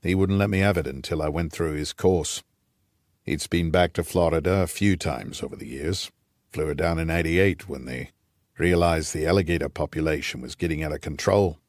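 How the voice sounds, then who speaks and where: A man voicing an aging, gruff Rhodesian patriarch, a wry and nostalgic old scout, in an audiobook. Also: extremely low pitch, moderate pace, dry recording, studio quality. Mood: wry reminiscing. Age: older.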